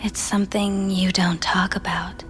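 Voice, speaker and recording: A woman playing a soft, probing, hypnotic pleasure-doll companion in a video game, speaking intimately and often in a whisper. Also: medium pitch, little pitch movement, unhurried, soft background music, close to an everyday voice.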